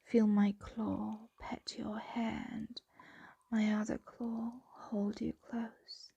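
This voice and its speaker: Woman as a breathy, whispering, slow-talking dragon mistress. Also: a little high, level tone, warm tone, no echo, muffled recording, exaggerated voice. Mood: soothing, possessive. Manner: slow, soothing, intimate. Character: possessive, doting female dragon captor, soothing and teasing. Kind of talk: ASMR roleplay.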